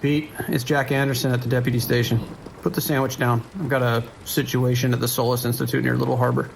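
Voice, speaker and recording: Male deputy dispatcher, acted, creaky mid-pitched voice, dry and unhurried authority, faint rain noise, radio drama. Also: close to an everyday voice, poor audio. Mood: businesslike. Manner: dry, laconic, steady. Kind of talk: audio drama phone dialogue.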